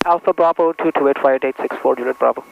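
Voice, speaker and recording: A man's voice: mid-range voice, fast talker, gravelly. A man, a pilot, making procedural calls to air traffic control over the radio. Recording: dull, noisy aviation radio.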